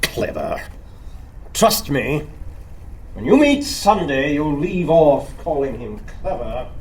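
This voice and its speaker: An actor in a radio play as a haughty, intense anarchist secretary, speaking in a dry, grave, brooding way. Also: male voice, a little high, sing-song, takes it slowly, a little hiss or hum. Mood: grim.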